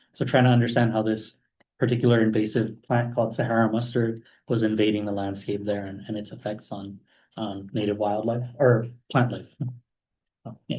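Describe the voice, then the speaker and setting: A young man from Hawaii giving an informal talk about his career as a guest speaker, relaxed, with many fillers. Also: mid-range voice, low-quality recording. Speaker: guest speaker, math postdoc.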